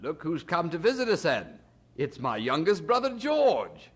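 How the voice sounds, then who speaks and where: Period audio drama: hearty, self-satisfied older brother, husky, very animated delivery. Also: male voice, fairly high pitch, unhurried, heavily acted. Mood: hearty, welcoming. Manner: chuckling, hearty, condescending, stammers when evasive. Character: jovial, blustering older brother, 18th-century English townsman.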